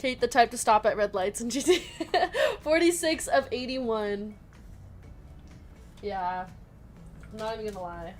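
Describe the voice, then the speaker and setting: A woman's voice: on the high side, unhurried, long pauses. Chatty woman hosting a card break. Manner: chatty, laughs often, reads out players and numbers. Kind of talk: live card break, casual chat. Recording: music playing behind.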